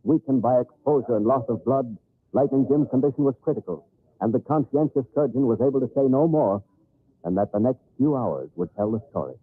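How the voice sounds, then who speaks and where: A man's voice: medium pitch, lively intonation, brisk, long pauses, slightly rough, no room sound. A man giving grave, formal scripted narration for an old-time radio drama. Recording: tinny, narrow-band old radio recording.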